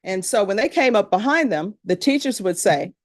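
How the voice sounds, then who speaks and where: A woman's voice: mid-range voice, lively intonation, moderate pace, dry recording, clean studio sound. A middle-aged woman from Louisiana with a Louisiana accent, a judge speaking to a jury panel in a courtroom in a plain, conversational storytelling manner.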